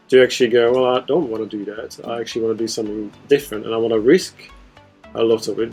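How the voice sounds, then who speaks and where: A man's voice: fairly low pitch, rough recording. Chatty man hosting, faint music behind. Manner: relaxed, chatty, frequent "you know" and "right?". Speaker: host, property mentor. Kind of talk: casual interview chat.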